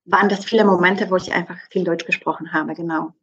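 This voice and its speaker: Zögernde Frau mit polnischem Akzent, dumpfer Klang. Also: female voice, fairly low pitch, steady tone, fast talker, breathy edge, highs cut off. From southeastern Poland. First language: Polish. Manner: hesitant, many fillers. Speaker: guest. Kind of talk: conversational interview.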